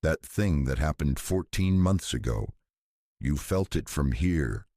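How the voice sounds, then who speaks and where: Man voicing a low, earnest older woman researcher. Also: expressive, takes it slowly, no echo, clean studio sound, heavily acted. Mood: quiet, probing. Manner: quiet, hesitant, serious. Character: older woman neurological researcher, earnest, guarded, mid-fifties.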